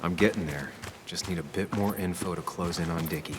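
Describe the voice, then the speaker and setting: An actor playing a dogged, reserved ex-reporter investigator in a video game, speaking in terse, understated lines. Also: male voice, low voice, a little fast, noisy background, close to an everyday voice. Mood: focused, musing. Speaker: player-character investigator, former reporter. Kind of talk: video game dialogue and inner monologue.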